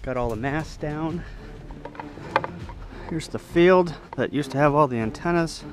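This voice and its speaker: A man's voice: on the high side, measured pace. A man talking casually in a matter-of-fact, offhand way, giving short video-log updates as an amateur radio operator.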